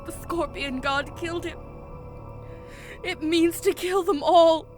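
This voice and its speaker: A woman's voice: extremely high pitch, steady tone, slow pace, over music. A woman playing a terrified, tearful young girl in a fantasy audio drama, crying as she speaks. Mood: distraught, tearful. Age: child.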